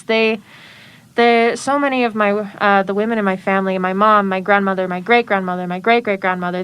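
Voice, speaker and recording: Smooth-voiced young woman, earnest guest. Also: medium pitch. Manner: earnest, matter-of-fact storytelling. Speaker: interview guest. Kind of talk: casual interview conversation.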